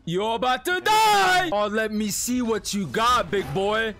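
A man reacts to a video game in a very high-pitched, wildly swinging voice. He is excitable, repeats short phrases over and over and tends to shout. Loud music plays behind him. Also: a little slow. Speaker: game streamer and video creator. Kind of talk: excited gameplay reaction commentary. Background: video game music and sound.

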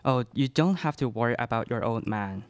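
A man's voice: medium pitch, a little fast, smooth, no echo, close to an everyday voice. A young man playing a protective father and former vampire hunter in a live radio play. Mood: wary. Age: young adult. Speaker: student radio play performer. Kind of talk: live radio theatre acting. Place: small classroom studio. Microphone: stand microphone, close.

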